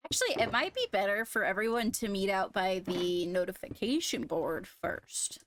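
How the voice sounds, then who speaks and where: A woman's voice: mid-range voice, animated, moderate pace, fairly bright tone, slight background noise. A woman chatting casually with a group while playing a roleplay game. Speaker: Minecraft roleplay player.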